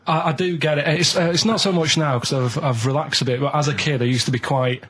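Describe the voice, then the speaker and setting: Male radio sidekick, higher-pitched voice, colloquial and matter-of-fact anecdote delivery. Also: fairly even intonation, fast talker, fairly bright tone, husky. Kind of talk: casual anecdote on a radio show.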